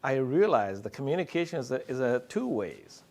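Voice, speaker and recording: A middle-aged male psychiatrist giving a conference talk, speaking loudly and slowly and joking with the audience. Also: mid-range voice, sing-song, creaky. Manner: loud, slow-paced, joking with the audience.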